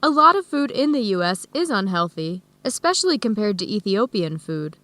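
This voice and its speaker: An actress playing a friendly, even-tempered American woman who explains things in a measured way in an educational radio drama. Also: medium pitch, no room sound. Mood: easygoing. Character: friendly American woman hosting Ethiopian friends, easygoing, tolerant. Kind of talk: educational radio drama dialogue, clearly worded for learners.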